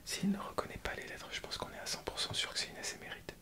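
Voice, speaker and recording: A man playing a calm, reassuring doctor in a soft-spoken, hesitant French ASMR medical roleplay. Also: racing, lightly acted. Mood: calm, instructing. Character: calm, reassuring male doctor treating a strange sensory illness.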